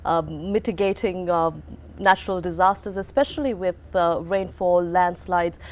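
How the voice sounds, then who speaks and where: A woman interviewing a guest on TV, asking measured questions with many fillers. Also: fairly low pitch, slight background noise.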